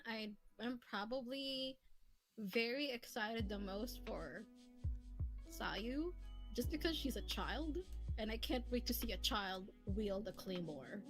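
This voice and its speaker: A woman's voice: a little high, animated, takes it slowly, rough recording. A woman hosting a casual stream, chatting and giggling with lots of fillers. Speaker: streamer and host. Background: faint lofi music.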